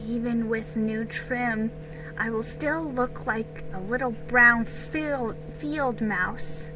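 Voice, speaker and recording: A woman's voice: a little high, slow pace, music in the background, low-quality recording. A woman voicing a wistful, dutiful young colonial girl, earnest and longing, in a storybook reading. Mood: wistful, hopeful.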